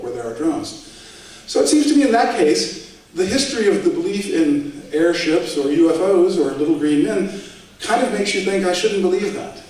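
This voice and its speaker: A man with a fairly high voice lectures at a debate, talking in a conversational way and dropping dry asides. His voice comes from a distance and rings with heavy hall echo. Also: dark tone. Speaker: debate speaker. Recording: echoey hall, voice from far off.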